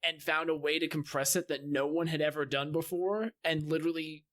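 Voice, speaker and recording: A man's voice: on the high side, fairly even intonation, a little fast, fairly bright tone. A man co-hosting a podcast, telling stories in a casual, conversational style. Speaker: podcast co-host. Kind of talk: casual chat, storytelling.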